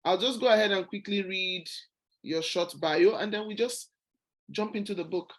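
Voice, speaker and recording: A woman founder hosting a book club over a video call, speaking in a friendly, relaxed way. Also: medium pitch, normal speed.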